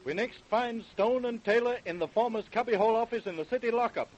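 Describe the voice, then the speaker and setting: A man's voice: very high-pitched, fast. A man giving scripted narration for an old-time radio crime drama. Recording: dull vintage radio recording.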